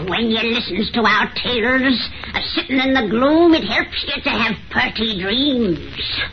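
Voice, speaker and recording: A cackling crone over a hundred tells horror tales in rustic dialect. Her cracked voice creeps along very slowly and swoops with sinister glee, on a muffled vintage radio recording. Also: a little high, expressive. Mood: gleefully sinister. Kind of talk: 1930s horror radio acting.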